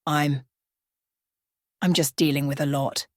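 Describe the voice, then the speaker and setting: A woman voicing an awkward, uneasy man in a bedtime story, halting and stammering. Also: low voice, steady tone, no room sound, studio quality. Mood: evasive.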